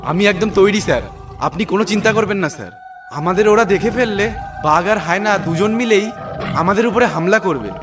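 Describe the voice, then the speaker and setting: Guy doing an eager nervy cartoon assistant, talks fast n high, slightly off mic. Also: recorded from a distance, music in the background, lightly acted. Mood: eager, wary. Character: eager, jumpy armed assistant to the inspector. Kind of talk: cartoon voice acting.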